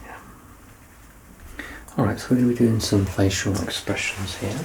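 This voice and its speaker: A man in his thirties speaks in a deep, softly creaking voice, gently guiding a patient in an ASMR medical roleplay. He is reassuring and self-deprecating, often dropping near a whisper. There is clear background noise behind him. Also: fairly even intonation, moderate pace, rough recording. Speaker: ASMR roleplayer playing a doctor. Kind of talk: soft-spoken ASMR medical exam roleplay.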